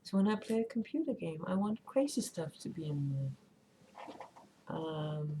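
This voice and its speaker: A woman's voice: low voice, very animated, measured pace. A woman streamer with Danish as her first language, chatting casually and rambling while she plays and reads chat aloud. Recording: faint background noise.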